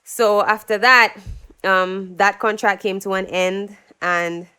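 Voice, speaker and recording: Smooth-voiced woman telling stories. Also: mid-range voice, slow pace. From Dominica. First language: English. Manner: animated, acts out quoted voices. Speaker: singer-songwriter guest. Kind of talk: casual interview storytelling.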